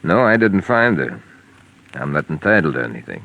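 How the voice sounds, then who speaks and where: An actor playing a resigned, easygoing investigator in a 1940s radio crime drama. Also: male voice, rumbling low, sing-song, normal speed, pushed and bright, highs cut off, heavily acted. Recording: vintage 1940s radio recording.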